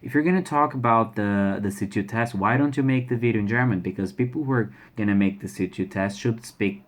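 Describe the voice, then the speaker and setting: Halting brazilian guy, slightly low voice. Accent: Brazilian Portuguese. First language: Portuguese. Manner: halting, many restarts and repeated words. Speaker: language learner sharing exam experience. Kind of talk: casual vlog talk to camera.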